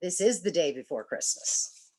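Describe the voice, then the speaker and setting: A woman's voice: fairly low pitch, expressive, normal speed, husky, rough recording. A woman playing a patient, drily teasing middle-aged wife in an old-time radio comedy. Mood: dry teasing. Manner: dry, gently sardonic.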